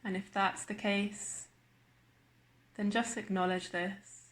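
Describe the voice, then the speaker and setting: Woman guiding meditation in an even tone. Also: medium pitch. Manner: slow, measured, with long pauses. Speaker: meditation guide. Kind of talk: guided body scan meditation narration.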